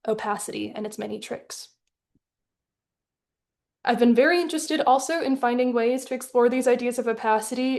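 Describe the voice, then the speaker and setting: A woman from Hong Kong giving a prepared artist talk over a video call, speaking in a thoughtful, measured, articulate way. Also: fairly high pitch. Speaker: artist in residence presenting her animated film. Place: live online webinar.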